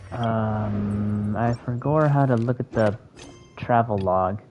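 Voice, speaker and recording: A man's voice: lowish voice, steady tone, slow, noisy background. A man doing casual livestream gameplay commentary, muttering and thinking aloud to himself. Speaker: game streamer. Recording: dull lo-fi microphone, music behind. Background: game music.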